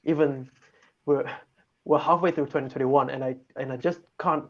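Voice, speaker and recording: A man's voice: medium pitch, steady tone, moderate pace. Hesitant man, dull mic. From Malaysia. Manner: hesitant, thinks aloud with many restarts. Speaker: guest on talk show. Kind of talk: casual chat.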